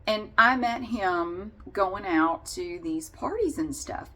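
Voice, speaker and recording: A woman's voice: mid-range voice, animated, slow, a bit bright. A woman vlogger casually telling a personal story in a candid, wry and sarcastic way.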